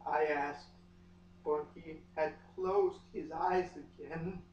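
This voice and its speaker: Male reader, slow and overwrought, comic dramatic delivery with long pauses; muffled, distant room recording. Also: fairly high pitch, fairly even intonation, a little mellow, a little hiss or hum. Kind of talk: melodramatic comic dramatic reading of a novel. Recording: echoey room, far from mic, muffled.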